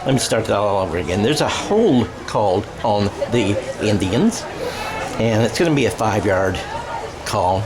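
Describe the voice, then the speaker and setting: An American man calling a football game radio-style in a conversational, halting way, often correcting himself. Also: on the low side, lively intonation. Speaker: play-by-play sports commentator. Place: outdoor high school football game. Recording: crowd noise behind the voice.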